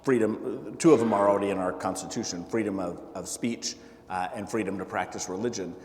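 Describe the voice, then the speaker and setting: A man with a fairly low voice talks to a gathered group, stopping often with fillers and restarts. His words ring in an echoey hall with a long tail of reverb. A faint hiss of noise sits under the recording. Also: fairly even intonation, darkish tone. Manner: halting, many fillers and restarts. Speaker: political candidate. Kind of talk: town hall talk.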